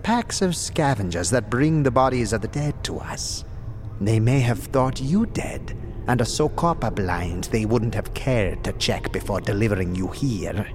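An actor playing a sickly, suspicious undead mortician in an audio drama, weary and formal, with halting, drawn-out phrasing. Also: male voice, lowish voice, animated, music in the background. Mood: disdainful.

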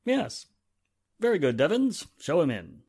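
A man's voice: fairly high pitch, wildly swooping pitch, measured pace, darkish tone, breathy edge, full character voice. A man playing a blunt, questioning police inspector in a 1940s-style radio drama, with a curt delivery. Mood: puzzled.

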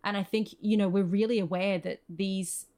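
A woman's voice: medium pitch, steady tone, normal speed, warm tone. An Australian woman speaking as an interview guest, earnest and effusive in a conversational way. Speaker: podcast guest, screenwriter.

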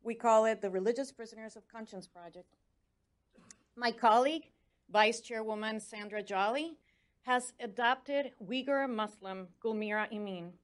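A woman whose first language is Spanish, speaking with a Spanish accent as she gives a prepared speech as a commissioner, earnest and urging. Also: mid-range voice. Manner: earnest, urging, stumbles over foreign names. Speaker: commissioner on religious freedom.

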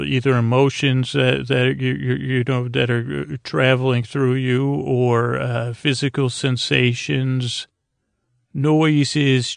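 Creaky-voiced man, rambling and hesitant. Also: medium pitch, dry recording, clean studio sound. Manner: hesitant, meandering, repeats words and trails off. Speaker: podcast host. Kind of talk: rambling bedtime monologue to help listeners sleep.

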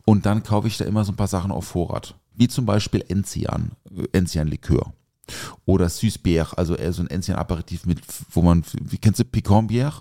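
A man's voice: sits low, brisk, warm tone. A man from southern Germany, chatting informally and loosely as co-host of a food podcast. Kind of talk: casual podcast chat.

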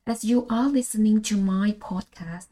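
A woman's voice: mid-range voice, normal speed, warm tone. A middle-aged woman from Malaysia with a Malaysian English accent, hosting a scripted solo podcast in an earnest, read-aloud manner. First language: Bahasa Malaysia. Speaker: podcast host.

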